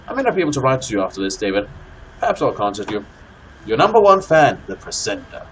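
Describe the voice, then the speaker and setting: A man's voice: medium pitch, big pitch swings, fast talker, natural voice. Man acting out an ominous, obsessive letter writer, noisy background. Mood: fervent. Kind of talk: dramatic reading of a letter.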